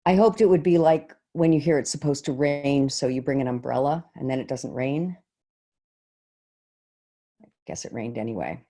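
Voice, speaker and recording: Actress doing a earnest female middle school teacher, mellow voice, thoughtful pauses, shaken, stage monologue over video call. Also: very deep voice, exaggerated voice. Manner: reflective, halting, deliberate pauses.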